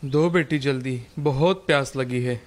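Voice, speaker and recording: A man's voice: mid-range voice, measured pace, lightly acted. Man voicing a tired, kindly old wandering sage. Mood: weary, thirsty. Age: older. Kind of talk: animated moral-story dialogue.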